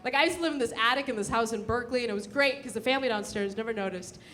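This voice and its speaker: A woman's voice: fairly high pitch, very fast. A young American woman performing stand-up comedy in a casual, slangy, profane way, acting out bits of dialogue. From grew up in San Diego, USA. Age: young adult. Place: live comedy show before an audience. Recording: live show with loud audience noise.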